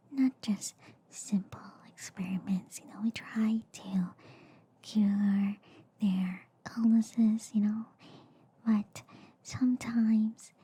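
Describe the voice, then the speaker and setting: A woman voicing a quirky, reassuring alien girl doctor in a soft ASMR roleplay, mostly in a hushed, breathy whisper with a soothing, chatty manner. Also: medium pitch, full character voice. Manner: slow, chatty, soothing, repeating filler words. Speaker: ASMR performer.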